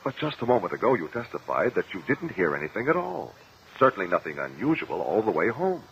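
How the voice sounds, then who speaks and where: Low-voiced, shrewd radio attorney. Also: male voice, sing-song, gravelly, fairly bright tone, heavily acted. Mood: insistent. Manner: measured, formal, insistent questioning. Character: shrewd, courteous, probing male courtroom attorney. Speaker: actor in radio drama. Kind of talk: 1950s radio courtroom drama acting. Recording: dull, lo-fi vintage radio recording.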